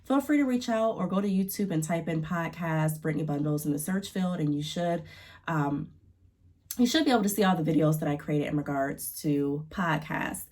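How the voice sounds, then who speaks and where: A young woman with a congested, stuffy nose and a somewhat low voice talks straight to her viewers. She gives business tips in a chatty, encouraging way and fills her sentences with plenty of ums. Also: normal speed, darkish tone. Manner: chatty, filler-heavy, encouraging. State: congested from allergies. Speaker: YouTube business vlogger. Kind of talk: casual advice talk to camera.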